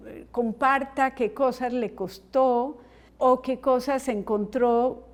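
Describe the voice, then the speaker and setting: A middle-aged woman from Colombia, a psychologist hosting a podcast, explaining calmly in a reflective family conversation. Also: high-pitched, takes it slowly.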